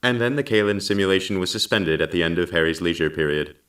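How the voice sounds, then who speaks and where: Deep-voiced calm male storyteller. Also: fast talker, distant mic. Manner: calm, patient explaining. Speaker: storyteller narrator.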